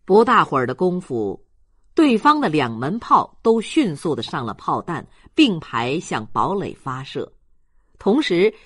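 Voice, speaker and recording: Female audiobook narrator, lower register, close dry sound, scripted storytelling. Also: husky, no echo.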